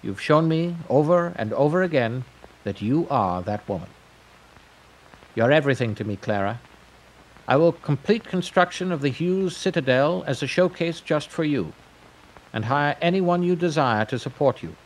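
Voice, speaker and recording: A man playing a grandiose, eccentric tycoon pitching his pleasure empire in a declamatory audio drama monologue. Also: lively intonation, moderate pace, low-quality recording. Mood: commanding, courting.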